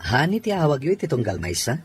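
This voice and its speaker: A man's voice: a little high, sing-song, fast, soft background music. A man with an Ilocano accent acting a reserved, lonely young father in an audio drama. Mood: reserved, teasing.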